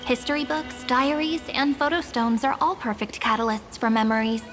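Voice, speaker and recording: A woman acts out a small, sprightly female game sprite with a high voice. She is friendly and polite, teasing in a theatrical way, with music behind her. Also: normal speed, a bit bright, natural voice. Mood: teasing, frank. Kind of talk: video game character acting.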